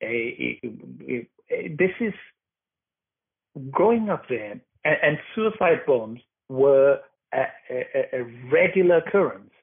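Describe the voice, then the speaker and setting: A man's voice: mid-range voice, expressive, slow, gravelly, slightly rough. A man from Sri Lanka, a documentary maker recounting his past as an interview guest, thoughtful and full of fillers and restarts. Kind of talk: interview answers, personal account. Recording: tinny phone-like line.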